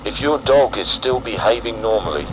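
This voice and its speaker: A man with a fairly deep voice delivers a scripted emergency warning in analog horror style. His delivery is solemn, measured in tone and ominous. The recording carries obvious background noise. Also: animated. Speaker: narrator.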